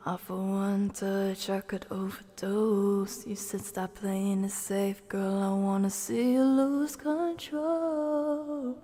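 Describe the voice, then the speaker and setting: A woman livestreamer casually singing along and making soft, playful chatty asides, sometimes in a whisper. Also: mid-range voice, little pitch movement, slow pace. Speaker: livestreaming ASMR creator. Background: music playing from a TV.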